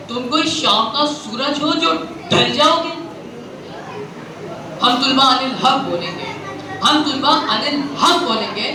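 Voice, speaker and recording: A student speech contestant with a Pakistani accent delivers a fiery, poetic declamation in a theatrical, rhetorical manner, repeating phrases for emphasis. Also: sits high. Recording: very echoey, distant mic, noisy venue recording.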